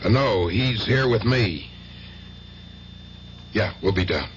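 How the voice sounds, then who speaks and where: A deep-voiced, steady uncle. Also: male voice. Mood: matter-of-fact. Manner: plain-spoken, halting. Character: steady, protective uncle, plain-spoken older man. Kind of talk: old-time radio drama acting. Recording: dull, noisy old radio recording.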